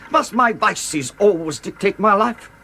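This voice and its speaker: Guilt-ridden killer in a radio play, anguished, defiant, sharp forceful voice, faint ticking behind. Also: male voice, high-pitched, expressive, measured pace, slight background noise, exaggerated voice. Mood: anguished pleading. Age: 30s. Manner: earnest, anguished, declamatory. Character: tormented guilty murderer, man in his thirties, anguished, defiant. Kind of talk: dramatic British radio play acting.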